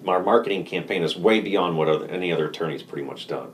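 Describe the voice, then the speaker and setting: A man talking casually in an interview as a lawyer, relaxed, laughing easily and restarting his sentences. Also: low voice, fast talker, a little hiss or hum. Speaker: lawyer, firm co-founder.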